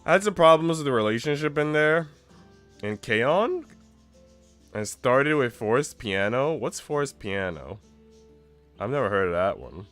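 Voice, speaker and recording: A man's voice: mid-range voice, sing-song, unhurried, pauses often, a bit bright, faint music behind. A man streaming a game, chatting casually with slang and swearing and at times reading story narration aloud. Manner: informal, slangy, profane. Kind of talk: casual chat mixed with reading visual novel narration aloud.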